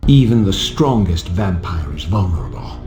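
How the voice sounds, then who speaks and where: An actor playing a proud, vengeful vampire wraith in a video game, speaking in a formal, theatrical, measured way. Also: male voice, low-pitched, lively intonation. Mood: defiant, menacing. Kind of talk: dramatic game voice acting. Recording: reverberant hall, music underneath.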